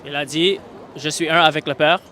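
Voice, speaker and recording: A man's voice: a little high, steady tone, normal speed, bright, forceful tone, a faint crowd. A man who is a native English speaker, debating religion informally in the street, insistent and prone to repeating his points. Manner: insistent, repeats himself, addresses opponent familiarly.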